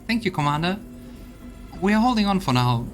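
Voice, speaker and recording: A man's voice: high-pitched, very animated, fairly quick, music in the background. A man voicing a loyal, weary female army officer in a video game, talking in an earnest, folksy way. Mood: relieved, determined. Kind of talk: voiced video game dialogue.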